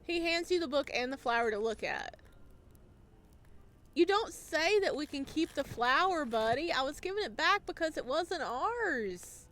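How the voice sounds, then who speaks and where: Slow-paced woman playing a visual novel. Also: sits high, expressive, fairly bright tone. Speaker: gaming video creator. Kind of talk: visual novel playthrough, narration and commentary.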